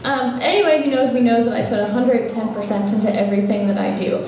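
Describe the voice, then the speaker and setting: A woman's voice: a little high, fast, crowd noise. A young woman running for student government president, answering debate questions in an earnest, eager way. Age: young adult. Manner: earnest, eager, speaks in fluent run-on sentences. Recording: echoey room from far off, faint noise.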